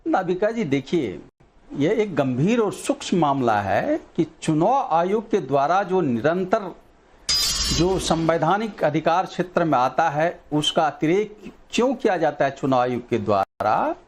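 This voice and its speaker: Man panelist, formal and measured, very lively intonation. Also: fairly high pitch. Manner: formal, measured, elevated vocabulary. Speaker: party spokesperson, debate panelist. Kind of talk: TV debate argument.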